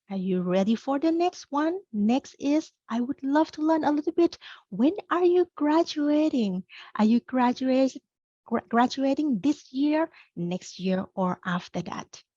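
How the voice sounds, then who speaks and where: A woman's voice: a little high, dark tone, husky, no echo, lo-fi sound. A middle-aged woman from Thailand, a career coach presenting a webinar, speaking in an upbeat, encouraging way straight to her audience.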